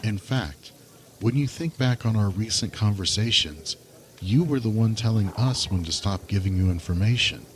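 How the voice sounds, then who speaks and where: Man playing a serious, low-voiced secret keeper. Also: animated, normal speed, slight background noise, close to an everyday voice. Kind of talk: audio drama dialogue.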